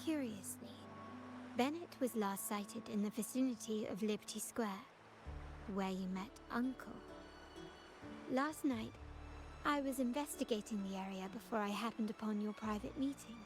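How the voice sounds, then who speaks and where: Composed, shrewd young noblewoman, played by an actress, high-toned. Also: over music, lightly acted. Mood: pensive, analytical. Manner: measured, formal, articulate. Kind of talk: video game voice acting.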